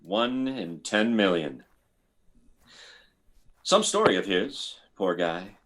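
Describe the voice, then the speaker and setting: Male actor playing measured military medic, lowish voice with lively swings, vintage sci-fi radio drama. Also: full character voice. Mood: rueful, musing. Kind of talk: 1950s radio drama acting.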